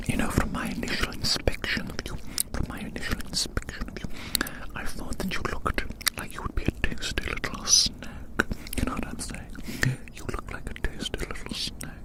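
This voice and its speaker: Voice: normal speed, low-quality recording. An ASMR performer whispering breathily as a gloating giant toying with tiny prey, savouring and repeating phrases with playful menace.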